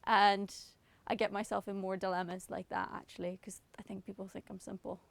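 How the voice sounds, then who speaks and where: A woman's voice: mid-range voice, little pitch movement, a little fast, vocal fry, no echo, clean studio sound. A young woman from Ireland with an Irish accent, chatting casually and self-mockingly to camera as she answers questions about herself. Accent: Northern Irish. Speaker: singer answering autocomplete questions.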